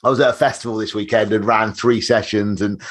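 A man hosting a podcast interview in a chatty, upbeat way, his voice slightly hoarse from shouting. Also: on the low side, steady tone. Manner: upbeat, chatty, quick to laugh. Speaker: podcast host. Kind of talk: casual interview.

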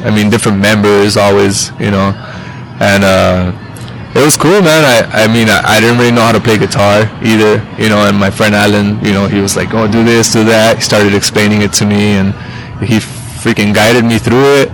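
A man's voice: fairly low pitch, fairly even intonation, normal speed, a little hiss or hum, rough recording. A man in his thirties, a musician guest on a podcast, talking casually and rambling with lots of fillers and restarts. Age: 30s. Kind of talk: casual interview chat.

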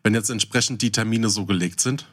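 A man's voice: lowish voice, a little fast, fairly bright tone. A man co-hosting a casual podcast chat, asking questions and arguing his point in detail. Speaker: podcast co-host.

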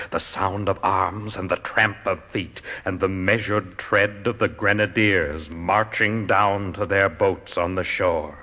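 A man giving a theatrical, measured dramatic recitation of poetry. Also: low voice, a bit bright, husky. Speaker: poetry reader. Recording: long cavernous reverb.

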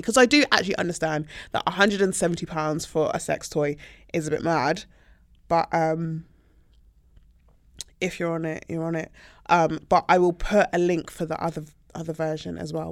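A woman's voice: sits low, gravelly, no room sound. Woman podcast host, nasal and congested, chatty, dry close mic. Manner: giggly, exclaims in disbelief. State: congested from a cold. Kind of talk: casual chat.

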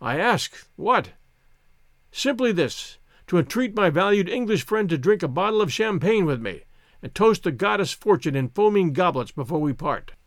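An old French grenadier, acted out by a male narrator in a storybook tale, grandiloquent and flattering. His voice sits high and swings widely, heard close and dry. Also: moderate pace, no echo. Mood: boisterous, flattering. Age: older. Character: effusive old French soldier, flattering, theatrical, wily.

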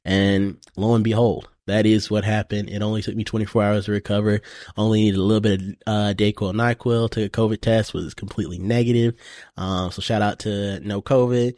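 A relaxed man chatting on a podcast, spinning casual stories and peppering them with slang. His voice sits fairly low, recorded close and dry with no room sound. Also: a little fast, dry recording. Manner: laid-back storytelling, lots of "bro" and "you know". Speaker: podcast co-host. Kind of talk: casual chat.

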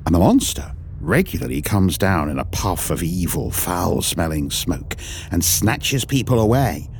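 A woman's voice: extremely low pitch, big pitch swings, unhurried, vocal fry, a little mellow, a little hiss or hum, voice pushed down, exaggerated voice. A woman voicing an honest, brave young village man who speaks earnestly.

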